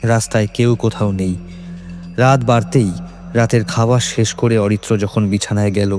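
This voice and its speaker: A man reads a scripted horror tale as narrator. His voice is fairly deep, with measured, suspenseful phrasing. Soft music plays underneath. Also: steady tone. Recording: faint music behind.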